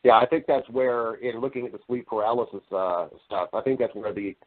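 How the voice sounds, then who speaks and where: A man's voice: mid-range voice, brisk. A middle-aged American man telling personal stories as an interview guest, speaking earnestly and emphatically, often repeating phrases as he goes. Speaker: podcast guest, voice-over artist and storyteller. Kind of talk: conversational interview storytelling. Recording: narrow-band, radio-like call audio.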